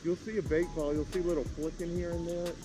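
A man with a slightly high voice chats casually as a fishing companion. He is recorded outdoors on the water, and loud music plays over his voice. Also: little pitch movement, fast talker, low-quality recording. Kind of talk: casual chat. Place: outdoors on a lake.

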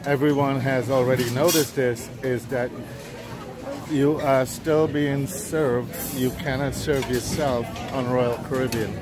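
A man giving casual, halting walk-through commentary as a vlogger, observing what is around him. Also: mid-range voice, steady tone. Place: large busy cruise ship buffet hall. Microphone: handheld camera or phone. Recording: background music and loud dining crowd. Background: music and crowd chatter.

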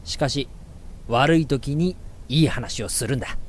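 A man playing a gruff, preachy father in a radio drama, speaking in a blunt, emphatic, declamatory way. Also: mid-range voice, a little slow, a little hiss or hum, lightly acted. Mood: stern, exhorting. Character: gruff, preachy father lecturing his young son. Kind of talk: scripted radio drama narration and dialogue.

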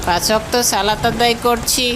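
A scheming cartoon village thief who puts on pious politeness, acted by a woman. The voice sits fairly high and sounds ingratiating. Clear background noise runs behind it. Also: a little fast, close to an everyday voice. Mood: falsely pious, polite. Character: sly village thief man feigning piety. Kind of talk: cartoon voice acting.

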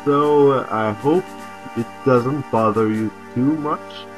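A native French speaker, a man with a French accent, gives casual, hesitant game commentary. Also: medium pitch. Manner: hesitant, halting delivery. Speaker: let's play host. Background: video game music.

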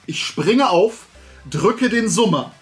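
A man in his forties telling an anecdote casually to camera, animated and with sarcastic asides. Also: high-pitched, slow, soft background music. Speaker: host of a talk and toy channel. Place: small room at home with shelves of collectibles.

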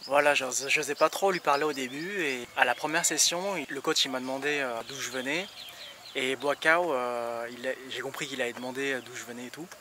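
Halting young Frenchman, distant and noisy recording. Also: medium pitch, fairly even intonation. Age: young adult. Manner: halting, searching for words. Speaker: vlogger. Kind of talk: casual vlog explanation.

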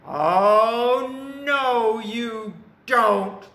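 A bossy, hungry troll acted out by a woman guards his bridge in a children's tale. He is loud and menacing and stretches his words slowly, with a forceful edge in an echoey room. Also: fairly high pitch, voice pushed up, full character voice. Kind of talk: children's storytelling.

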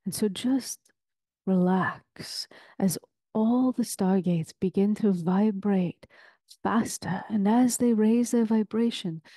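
A woman leading a guided meditation in a soothing, deliberate, ceremonial way, with long pauses between phrases. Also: medium pitch, dark tone, no room sound.

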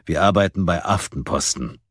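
A man's voice: low voice, normal speed, fairly bright tone, no room sound, clean studio sound. A man voicing a stern, weary male editor who corrects his colleagues with sighs.

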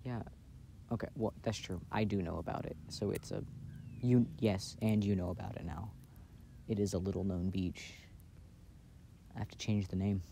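A man doing a casual, intimate ASMR boyfriend roleplay in a soft-spoken, playful way, recorded outdoors. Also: deep voice, fairly even intonation, moderate pace, gravelly, long pauses. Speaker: audio roleplay performer playing a boyfriend. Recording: outdoor recording with background noise. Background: outdoor ambience and noise.

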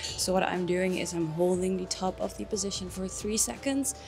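A woman's voice: lowish voice, normal speed. A woman in her twenties, chatting instructively in a casual fitness vlog. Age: 20s. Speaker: fitness vlogger and trainer. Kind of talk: casual vlog explaining exercises. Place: indoor gym, later a home kitchen. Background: music.

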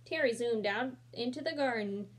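A young woman reads a children's picture book aloud into her laptop camera from a small room. Her voice sits a little high, and her reading is expressive, sprinkled with casual asides and small slips. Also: normal speed, slightly rough.

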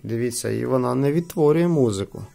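Creaky-voiced man, casual reviewer. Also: medium pitch, animated, brisk, warm tone. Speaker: product reviewer. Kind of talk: casual unboxing commentary.

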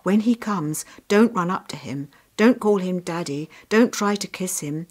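A woman's voice: fairly low pitch, expressive, a little slow, darkish tone. A woman voicing a serious, protective boy who is an older brother in a storybook. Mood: worried, urgent.

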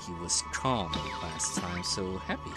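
A man's voice: low-pitched, animated, a little slow. A man doing playful, casual gameplay commentary, chattily reacting and echoing what characters say. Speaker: game streamer. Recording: game music under the voice. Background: video game music.

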